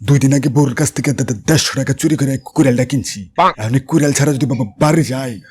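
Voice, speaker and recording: Man as a poor simple woodcutter, strong stutter, Bangladeshi accent, husky mid-pitched voice, comic cartoon. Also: fast talker, darkish tone, close to an everyday voice. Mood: panicked. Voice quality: heavy stutter. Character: honest, anxious, simple poor male woodcutter. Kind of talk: comic cartoon fable voice acting.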